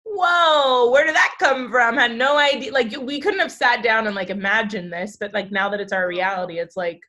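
A woman's voice: a little high, moderate pace, bright, forceful tone. Chatty woman in her twenties. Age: 20s. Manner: chatty, laughs easily, mild swearing. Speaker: podcast guest. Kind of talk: casual podcast chat.

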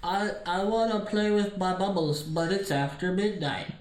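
A man's voice: high-pitched, moderate pace, close to an everyday voice. A man playing a naive, earnest child in a parody advertisement, asking puzzled questions. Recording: roomy echo.

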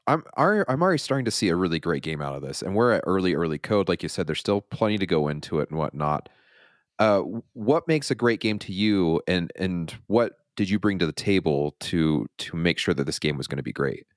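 A man interviewing a game designer, asking curious, quick questions in casual talk. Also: deep voice, lively intonation, dry recording, clean studio sound.

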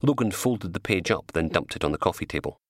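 Deep-voiced man narrating crime fiction. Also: lively intonation, fast, no echo, studio quality. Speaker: author narrating his own audiobook.

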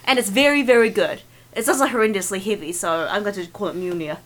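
Bright-voiced teasing woman with a Kiwi accent. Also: mid-range voice, quick pace. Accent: New Zealand. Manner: playful, teasing, deadpan jokes. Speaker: podcast co-host. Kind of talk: casual podcast banter.

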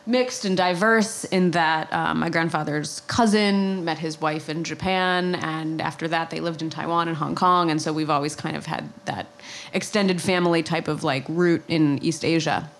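A woman's voice: low-pitched, fairly even intonation, moderate pace, a little hiss or hum. An American woman telling personal stories in a casual, conversational way as a podcast guest. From New York and New Jersey, United States. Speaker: podcast guest, travel writer.

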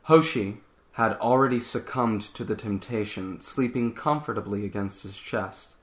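Guy narrator, lowish voice, doing a dramatic story reading. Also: moderate pace. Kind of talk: scripted dramatic narration of fan fiction.

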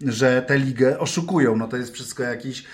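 A man with an average-pitched voice talks casually on a football panel. He thinks aloud, stopping and doubling back over his words as he builds a point. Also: moderate pace. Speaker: podcast panellist.

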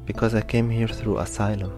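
A young man from Egypt narrating a personal story in a quiet, reflective, plain way. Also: lowish voice, level tone, a little fast, music in the background.

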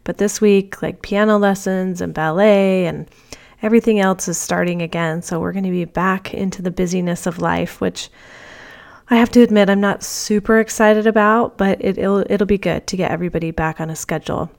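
A woman's voice: medium pitch, steady tone, normal speed, vocal fry, darkish tone. A woman in her thirties or forties hosting her own podcast, talking in a chatty, upbeat way with fillers, her voice nasal from a cold. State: stuffy nose from a cold. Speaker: podcast host. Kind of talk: casual solo podcast monologue.

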